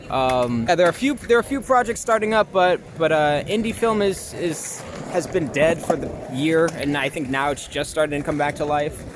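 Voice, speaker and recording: A young man chatting casually in an interview, hesitating often and using filler words. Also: fairly high pitch. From New York. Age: young adult. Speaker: interview guest, actor and filmmaker. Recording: noisy outdoor city traffic.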